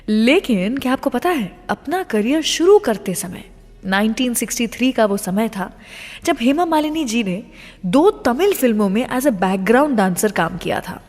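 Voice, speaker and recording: A long reverb hangs around this Indian woman's fairly high voice. She hosts a radio show in a scripted storytelling style, speaking straight to listeners with teasing questions and slipping in English phrases. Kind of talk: scripted radio presenting with film trivia.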